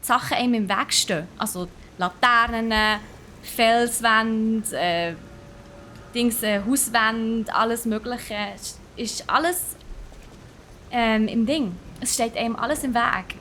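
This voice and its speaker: A woman's voice: a little high, takes it slowly, pushed and bright, a little hiss or hum. Chatty Swiss woman streaming a game. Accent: Swiss German. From Switzerland. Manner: chatty, self-mocking, mixes in English exclamations. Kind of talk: live gameplay commentary.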